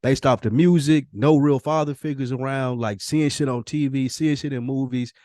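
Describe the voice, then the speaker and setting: A man's voice: mid-range voice, fairly even intonation, moderate pace, darkish tone, dry recording, studio quality. A man talking casually and candidly as a podcast co-host, reflective and confessional, with slangy, profane, emphatic phrasing. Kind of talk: casual, candid personal conversation.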